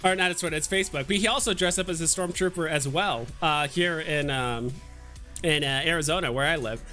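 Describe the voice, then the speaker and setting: A man's voice: a little high, a little fast, sharp tone, faint music behind. A man hosting a livestream in casual chat, hesitant and often thinking aloud. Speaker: livestream host.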